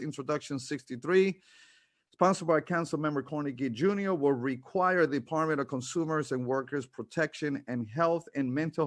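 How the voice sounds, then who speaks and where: A man's voice: on the high side, dry recording, studio quality. A man from the Bronx chairing a city council committee and reading a formal opening statement on a remote video hearing, with occasional restarts. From New York City, Bronx. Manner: reads a prepared speech with occasional restarts. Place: remote hearing from home or office. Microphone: computer mic on video call.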